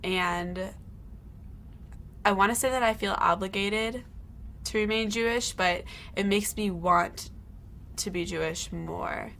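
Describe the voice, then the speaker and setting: A teenage girl speaking in a soft, thoughtful way in an interview. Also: medium pitch, takes it slowly, vocal fry, long pauses, a little hiss or hum. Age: teens. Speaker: student filmmaker. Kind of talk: reflective interview.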